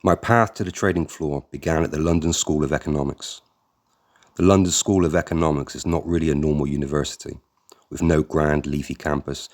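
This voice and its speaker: A man narrating an audiobook in an extremely deep, low voice. He reads a first-person memoir at a steady, measured pace, as if telling his own story.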